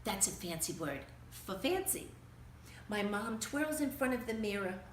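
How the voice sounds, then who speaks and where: A woman's voice: medium pitch, big pitch swings, unhurried. A woman reading a children's story aloud in a theatrical, emphatic way. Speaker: storybook reader. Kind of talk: animated children's read-aloud. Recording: roomy, distant mic with faint noise.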